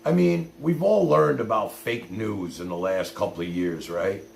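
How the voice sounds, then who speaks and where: A man's voice: fairly low pitch, unhurried, creaky, a little mellow. A man hosting a livestream with casual, folksy, plain-spoken chat. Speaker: livestream host. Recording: roomy echo with faint noise.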